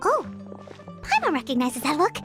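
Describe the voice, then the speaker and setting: A woman's voice: high-pitched, huge pitch swings, normal speed, music in the background. A woman voicing a small, childlike fairy companion in a video game, chirpy and curious. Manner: speaks of self in third person, chirpy.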